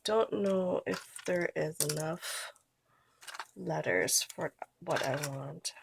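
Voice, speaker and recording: A woman's voice: low voice, slow pace, gravelly, background noise. A woman in her thirties with a cold that makes her sound nasal, chatting casually through a craft tutorial and trailing off as she works. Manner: relaxed, chatty, trails off while working. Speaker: scrapbooking vlogger.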